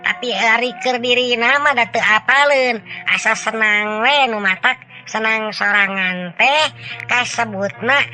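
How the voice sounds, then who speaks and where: Shrill, slow-talking impish sage. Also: male voice, heavily acted. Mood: explanatory, wry. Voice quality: shrill, bright. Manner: slow, teasing, explanatory. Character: impish, cackling, wise riddle-explaining companion. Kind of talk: dramatized folk storytelling. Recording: music behind the voice.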